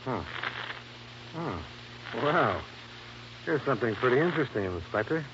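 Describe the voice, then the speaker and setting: A man's voice: mid-range voice, lively intonation, measured pace, muffled recording, close to an everyday voice. A man playing a tough, wry American insurance investigator in a 1950s radio detective drama, dry and confident. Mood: dry, resolute. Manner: dry, confident, matter-of-fact. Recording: noisy, band-limited old radio recording.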